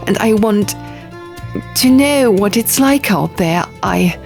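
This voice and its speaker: A woman's voice: medium pitch, expressive, slow, creaky, darkish tone, pitched above the performer's own voice. A woman voicing a determined, composed young heroine in an audiobook, speaking with earnest intent. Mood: earnest, hesitant. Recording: music under the reading.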